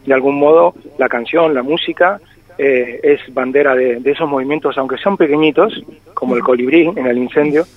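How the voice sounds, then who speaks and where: A man's voice: mid-range voice, normal speed. A singer-songwriter in his forties with a slight Argentine-tinged Spanish accent, talking reflectively in a phone interview with many hesitations. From born in Spain. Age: 40s. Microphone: telephone. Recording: tinny phone line, dry.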